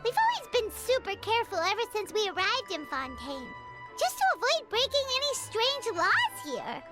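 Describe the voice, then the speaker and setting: Tiny food-loving fairy sidekick: extremely high childlike voice, excitable, whiny, very animated game acting. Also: female voice, normal speed, loud music behind the voice, heavily acted. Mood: glum, resigned. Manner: speaks of self in third person, dramatic, whiny. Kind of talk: video game character acting.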